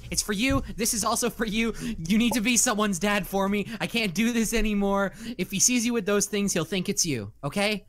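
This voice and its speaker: A woman's voice: medium pitch, a little fast, hardly any pauses, lightly acted. A woman voicing a spirited, exasperated young cartoon heroine, bantering emphatically in an improvised comedy fan dub.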